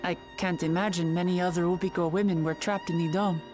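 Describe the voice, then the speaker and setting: A woman's voice: on the low side, steady tone, fairly quick, music in the background, close to an everyday voice. A woman acting an earnest, concerned young heroine in a video game cutscene, thoughtful and sincere.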